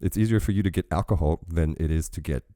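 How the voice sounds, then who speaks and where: A man with an extremely deep, dark voice hosts a casual reaction show, rambling through chatty asides. His voice is recorded close and dry. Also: fast talker, dry recording. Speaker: reaction channel host.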